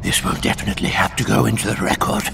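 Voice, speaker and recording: Creaky, half-mad muttering prisoner. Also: male voice, fairly low pitch, very animated, quick pace, very noisy, full character voice. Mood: frantic muttering. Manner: muttering, repetitive, rambling. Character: half-mad long-imprisoned man, obsessive muttering record-keeper. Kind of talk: video game character acting. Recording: loud music behind the voice.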